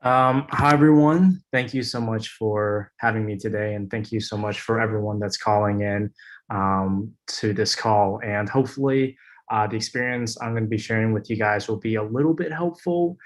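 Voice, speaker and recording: A young man sharing his story as a webinar panelist over a video call, earnest and halting with frequent ums and uhs. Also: on the low side.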